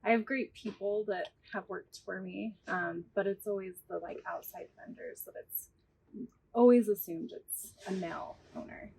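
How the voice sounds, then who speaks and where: A woman's voice: mid-range voice, moderate pace, gravelly, darkish tone, slightly rough, rough recording. A woman who owns a bar, telling her experiences in a candid, matter-of-fact way. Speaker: bar owner. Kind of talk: casual chat.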